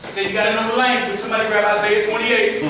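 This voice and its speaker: A man debating scripture before a crowd, emphatic, calling out to listeners and reading verses slowly. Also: high voice, flat delivery, noisy background. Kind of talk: street preaching debate. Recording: echoey hall from far off with crowd.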